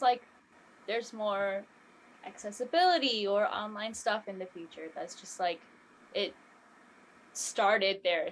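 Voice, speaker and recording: A woman's voice: mid-range voice, a little hiss or hum, rough recording. A woman in her twenties chatting casually in a panel, with a tentative way of talking. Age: 20s.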